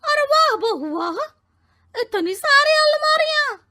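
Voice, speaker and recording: Shrill, melodramatic old village mother-in-law. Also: very animated, takes it slowly, heavily acted. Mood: naive, excited. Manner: loud wailing, exclaiming rustic talk.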